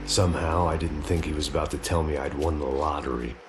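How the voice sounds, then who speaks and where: An actor voicing a grieving, weary ex-cop who narrates in a bitter noir style. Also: male voice, very deep voice, steady tone, quick pace, music in the background. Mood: brooding. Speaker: game protagonist narrating.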